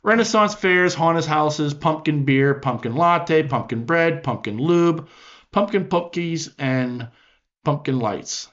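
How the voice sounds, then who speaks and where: A man in his fifties hosts a casual podcast, talking in a wry, rambling way and making fun of himself. His voice sits a little high. The sound is dull and lo-fi. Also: slow pace, fairly bright tone. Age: 50s. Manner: wry, rambling, self-deprecating. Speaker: podcast host.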